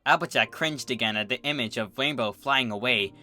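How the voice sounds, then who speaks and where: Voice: mid-range voice, brisk, pushed and bright, no echo. A narrator reading a scripted fan fiction story in a dramatic storytelling style, with music behind.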